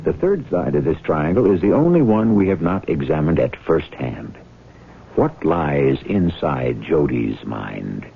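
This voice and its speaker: A man performing as the grave, deliberate storyteller of a 1970s radio mystery, with a gravelly voice. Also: extremely low pitch, big pitch swings, exaggerated voice. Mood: ominous. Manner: portentous, deliberate. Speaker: host narrator. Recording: phone-like old radio broadcast, faint noise.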